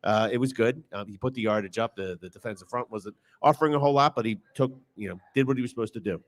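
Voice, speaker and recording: A man's voice: mid-range voice, fast talker. A man giving casual sports commentary as a show panelist.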